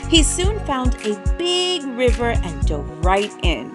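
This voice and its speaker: A woman reading a children's story aloud as an expressive, dramatic narrator with emphatic delivery. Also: fairly high pitch, takes it slowly, over music.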